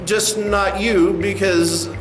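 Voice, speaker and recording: Man playing a smug, high-voiced corporate spokesman. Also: steady tone, roomy sound, soft background music, rough recording. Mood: smug, condescending. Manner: condescending, halting with mock-official filler. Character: smug, condescending male corporate spokesman, openly contemptuous. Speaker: corporate spokesman in a comedy sketch. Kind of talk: satirical press conference acting.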